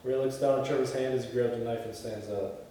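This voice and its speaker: A man's voice: medium pitch, fairly even intonation, brisk, dark tone. A man at a film table read, reading out screenplay directions and talking casually with the crew. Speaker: stage-direction reader and film crew member. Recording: echoey hall, distant mic, faint noise.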